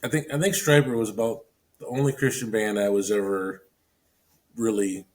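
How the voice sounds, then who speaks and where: Soft-spoken man, off-mic. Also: on the low side, moderate pace. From Holland, Michigan area. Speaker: guest, band drummer. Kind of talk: casual interview chat.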